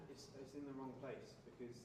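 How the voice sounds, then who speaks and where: A man giving a presenter quick, stop-start feedback on camera placement during a recording. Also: medium pitch, little pitch movement. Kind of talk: casual direction on set. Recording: distant, roomy, noisy set.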